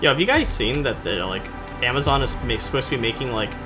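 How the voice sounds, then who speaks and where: A man hosts a video with loose, informal commentary on a game. His mid-pitched voice moves around a lot, animated and joking. Music plays clearly underneath him. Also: fast talker. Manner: joking, self-deprecating, informal. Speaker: YouTube video host. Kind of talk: casual rambling commentary on game cutscenes.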